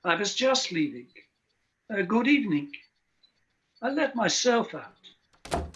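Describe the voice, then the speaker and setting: An actor in a radio play voicing a deliberate informant in a theatrical style. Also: male voice, sits high, sing-song, a bit bright, heavily acted. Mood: deliberate, revealing.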